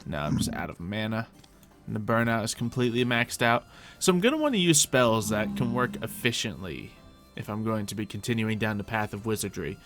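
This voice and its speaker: A man's voice: on the low side, expressive, a little fast, fairly bright tone, soft background music. A man giving casual, improvised gameplay commentary in character, chatting to himself and thinking aloud as he goes. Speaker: gaming video host playing in character.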